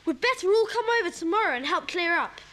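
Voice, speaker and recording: A woman's voice: very high voice, expressive, fast talker, pushed and bright, exaggerated voice. A woman playing an eager, practical schoolchild in a children's audio drama, plain-spoken and exclamatory. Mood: earnest.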